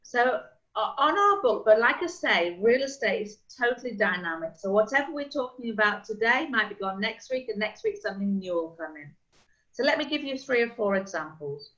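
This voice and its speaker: A middle-aged woman working as a real estate agent, chatting casually and explaining things with quick examples over a call. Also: fairly high pitch, expressive, a bit bright. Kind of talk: casual video call chat. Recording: slightly dull lo-fi call audio.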